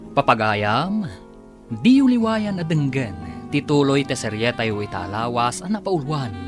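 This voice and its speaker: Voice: medium pitch, big pitch swings, a little slow. A radio drama announcer with an Ilocano accent, giving dramatic scripted narration. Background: music.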